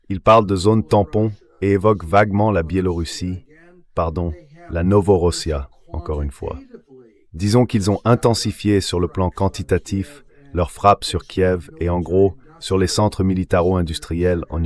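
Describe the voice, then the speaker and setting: Deep male synthetic political commentator. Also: normal speed. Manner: synthetic AI voice-over reading. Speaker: interview guest, political commentator. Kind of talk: political analysis, dubbed translation.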